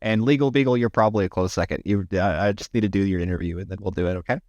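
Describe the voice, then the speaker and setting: A man chatting casually to his livestream viewers, rambling with many restarts and sounding slightly hoarse after hours of talking. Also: sits low, very fast, darkish tone, dry recording, highs cut off. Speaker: livestreamer and AI art tutorial maker.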